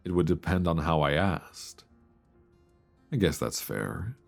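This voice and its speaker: A man playing a tender, nervous longtime friend confessing love in an intimate ASMR romance roleplay, with a deep voice and hesitant, earnest delivery, close to a binaural mic. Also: very animated, vocal fry, faint music behind, exaggerated voice. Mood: tender, longing. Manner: hesitant, soft, earnest, with sighs and pauses. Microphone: close binaural microphone.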